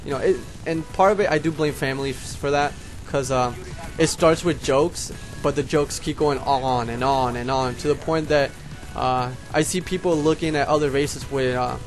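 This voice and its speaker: A man's voice: medium pitch, fairly even intonation, moderate pace, soft background music. Young puerto rican guy w a slight lisp, spanish first language, rambly vlog chat to his homies. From Puerto Rico. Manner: rambling, talks straight to viewers as homies. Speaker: YouTuber. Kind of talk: casual vlog monologue.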